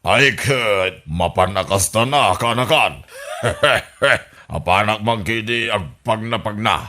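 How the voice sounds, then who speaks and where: A man's voice: on the low side, slow, bright, forceful tone. A man voicing a cranky middle-aged uncle who blusters at a teasing youngster. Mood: gruff. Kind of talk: comedy radio drama acting.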